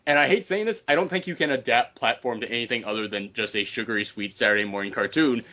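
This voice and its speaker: A man's voice: medium pitch, quick pace, sharp tone, dull audio. A man hosting a casual panel discussion, talking in an opinionated, casually profane way. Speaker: podcast host.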